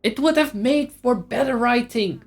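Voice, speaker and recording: A man's voice: very high voice, a little slow, gravelly. Profane, ranting male streamer. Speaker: livestreamer playing a video game. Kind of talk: casual live gameplay commentary.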